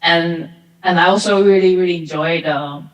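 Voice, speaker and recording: A woman's voice: fairly low pitch, steady tone, normal speed, muffled recording. A woman answering interview questions as a technical director guest, hesitant and repeating words. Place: theater. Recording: echoey hall.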